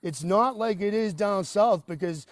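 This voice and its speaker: A man in his forties with a Boston accent, calling into a talk show and rambling in an animated way with frequent restarts. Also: high voice, measured pace, no room sound, studio quality. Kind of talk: casual talk-show call.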